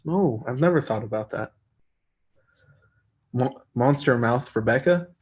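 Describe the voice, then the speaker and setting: Man, soft-spoken, casual stream chat, easygoing, rambling, mid-pitched voice. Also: animated, a little slow. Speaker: arm wrestler hosting a live chat stream. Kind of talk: casual live-stream chat reading comments.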